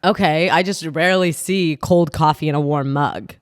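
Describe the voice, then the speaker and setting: A woman's voice: sits low, dry recording, studio quality. A woman co-hosting a podcast in casual chat, with a nasal voice and a playful, teasing manner. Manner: playful, teasing, quick to laugh. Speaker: podcast co-host.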